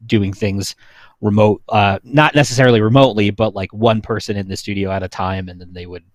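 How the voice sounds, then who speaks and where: A man's voice: lowish voice, fairly even intonation, a little fast, dry recording, studio quality. An American man in his thirties co-hosting a casual chat, explaining things in an easygoing way. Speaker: podcast co-host.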